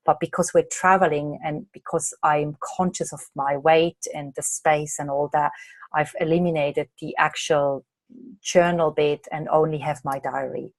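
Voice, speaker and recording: A slightly hoarse woman from Australia, a coach answering viewer questions in a chatty way during a live online masterclass late at night. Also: low voice, steady tone, measured pace, no room sound. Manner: chatty, reads out viewer comments and answers them. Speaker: coach and presenter.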